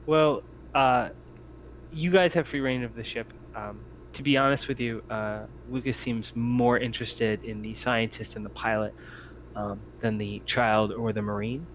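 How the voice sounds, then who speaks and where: A man's voice: mid-range voice, fairly even intonation, measured pace. A man narrating a tabletop roleplaying game as game master, feeling his way through phrases with frequent ums. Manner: hesitant, piecemeal phrasing with many ums. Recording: muffled lo-fi audio with background noise.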